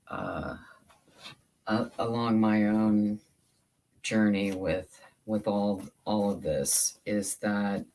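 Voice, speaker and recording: Soothing host chatting with viewers on a craft stream, talks real slow, lots of restarts. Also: medium pitch, low-quality recording. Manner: slow and halting, with many repeated starts. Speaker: livestream host. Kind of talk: casual chat while crafting, reading chat.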